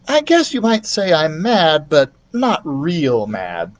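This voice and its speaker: A man's voice: fairly high pitch, wildly swooping pitch, slow, pitched above the performer's own voice, full character voice. A man voicing a friendly, candid young boy in a storybook, speaking in an earnest, confiding way.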